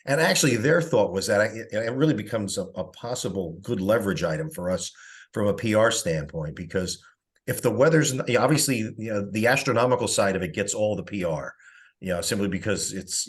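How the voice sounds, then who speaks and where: A man's voice: fairly low pitch, a little fast. A man giving a rambling, conversational update as a coordinator on an online meeting call. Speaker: publicity coordinator reporting at a committee meeting. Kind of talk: informal spoken report on a teleconference.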